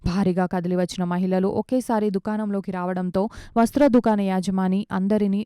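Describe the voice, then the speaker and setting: A woman's voice: medium pitch, fairly even intonation, fairly quick, mellow, smooth, dry recording, clean studio sound. A woman reading scripted news as a news reader.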